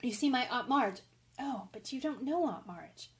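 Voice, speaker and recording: Impulsive young tomboy, woman actor: high voice, candid, rambling, breathless radio-play delivery. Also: animated, normal speed, natural voice. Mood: chatty, flustered. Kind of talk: radio drama acting.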